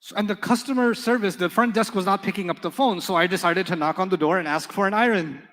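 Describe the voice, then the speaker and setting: A man whose first language is Urdu, teaching an Arabic class in a relaxed, joking manner and quizzing his students. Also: high-pitched, fast talker, long reverb tail. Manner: playful, joking, quizzes students in call-and-response. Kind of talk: live classroom lecture with storytelling.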